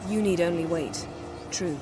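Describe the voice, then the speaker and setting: Woman playing stern game sorceress, lowish voice, formal, precise, commanding, music behind. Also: measured pace, lightly acted. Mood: grave, composed. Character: stern, controlled sorceress tending a dangerous spell, weary. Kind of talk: dramatic video game acting.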